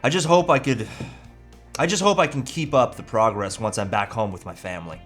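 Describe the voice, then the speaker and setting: A man's voice: medium pitch, fairly quick, a bit bright, soft background music, close to an everyday voice. A man reading the part of an earnest, grateful family man recovering from addiction in a script read. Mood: hopeful, anxious. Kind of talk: amateur table read.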